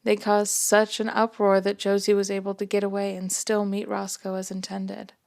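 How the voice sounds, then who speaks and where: A woman reading her own first-person story aloud in a gentle, soft-spoken way. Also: medium pitch, completely flat delivery, moderate pace, creaky. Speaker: author and narrator.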